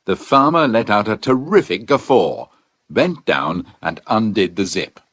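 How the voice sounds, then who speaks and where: A man's voice: on the low side, lively intonation, unhurried, fairly bright tone, a little hiss or hum. A British man narrating a scripted children's adventure story.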